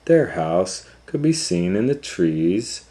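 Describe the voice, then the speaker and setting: A man's voice: low-pitched, very animated, slow, dark tone. A man reading a children's picture book aloud as a storytime host, in a dramatic, playful way.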